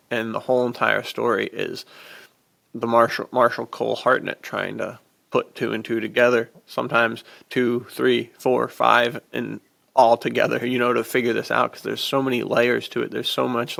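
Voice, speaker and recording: A man's voice: mid-range voice, vocal fry, fairly bright tone. A man co-hosting a podcast, talking casually in an informal, emphatic way. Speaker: podcast co-host. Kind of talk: casual chat.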